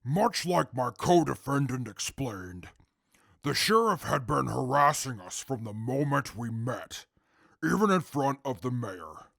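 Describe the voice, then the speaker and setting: An actor playing a calm, articulate male super mutant who testifies in court in a formal, measured way with a gravelly voice. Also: mid-range voice, no echo, clean studio sound, heavily acted. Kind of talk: audio drama acting.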